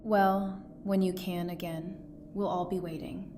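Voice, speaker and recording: Woman acting steadfast survivor, lowish voice, noisy backdrop. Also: little pitch movement, measured pace, warm tone, natural voice. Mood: gentle, earnest. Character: steadfast, compassionate female apocalypse survivor encouraging a broken leader. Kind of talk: audio drama acting. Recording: clearly noisy, ambient background.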